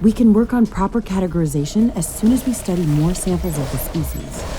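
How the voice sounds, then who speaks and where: Low-voiced, keen scientist-commando played by an actress, Ashley. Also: female voice, expressive, a little fast, lightly acted. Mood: pleased, curious. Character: Ashley, eager female scientist-commando in a mech suit. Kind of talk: scripted video game dialogue. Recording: music bed behind the voice.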